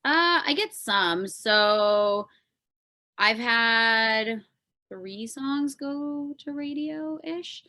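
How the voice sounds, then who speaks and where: A woman's voice: a little high, expressive, extremely slow, pushed and bright. A woman with a Canadian accent talking casually and informally as a guest on a podcast, explaining things as she goes. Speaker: podcast guest, pop singer-songwriter.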